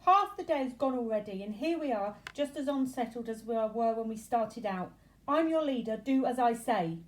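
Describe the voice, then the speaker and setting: A woman's voice: on the high side, expressive, moderate pace, pitched above the performer's own voice, natural voice, low-quality recording. A woman voicing an earnest young male bee in a children's storybook, with an emphatic manner. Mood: indignant. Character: earnest, anxious young male worker bee, bossy when crossed.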